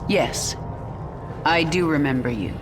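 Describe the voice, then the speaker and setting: A woman's voice: low voice, animated, a little slow. An actress playing a vengeful, commanding woman warrior in a dramatic video game. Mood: cold, commanding. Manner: intense, commanding. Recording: loud music behind.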